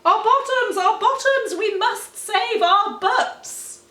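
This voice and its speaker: Sensible, bossy male dog for a storybook played by a woman, urgent high calls, swooping, distant mic. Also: takes it slowly, exaggerated voice. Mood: urgent, dramatic. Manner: loud, urgent, commanding. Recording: roomy, echoey, distant microphone.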